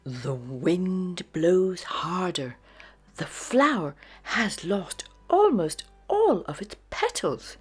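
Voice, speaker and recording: Woman, children's story narrator, very slow pace, husky breathy voice, dramatic delivery, music behind. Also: lowish voice, very animated. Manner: dramatic, with hushed phrases and sudden exclamations. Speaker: storyteller and host of a children's read-aloud show. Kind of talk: expressive children's storybook read-aloud.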